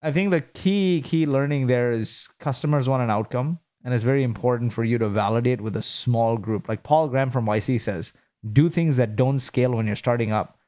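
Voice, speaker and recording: A man from Kuwait, a startup founder, answering interview questions in a brisk, businesslike way with frequent rhetorical questions. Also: mid-range voice, dark tone.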